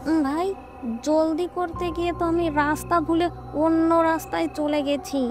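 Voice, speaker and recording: A woman's voice: very high-pitched, little pitch movement, normal speed, over music, pitched above the performer's own voice. A woman voicing a dutiful, earnest younger brother in a cartoon horror story. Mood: coaxing.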